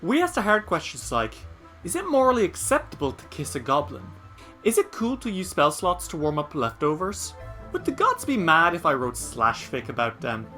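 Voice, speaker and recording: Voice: medium pitch, huge pitch swings, normal speed, bright, forceful tone. An announcer reading a scripted podcast advertisement in a playful, upbeat manner. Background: music.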